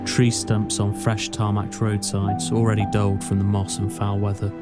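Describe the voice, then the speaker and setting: An actor playing a reflective, melancholy young male student in a scripted film voice-over, speaking in a measured way. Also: fairly low pitch, completely flat delivery, lightly acted. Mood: brooding. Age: young adult. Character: wistful young male student returning home. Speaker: narrator and lead of a short film. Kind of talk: scripted poetic voice-over narration. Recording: loud music under the voice. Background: prominent music.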